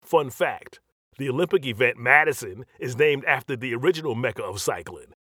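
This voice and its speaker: A man's voice: medium pitch, expressive, a little fast, sharp tone, no echo, studio quality. An actor playing a brash, cocky, streetwise New York guy who narrates a comedic scripted voiceover with sassy attitude. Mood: cocky, joking.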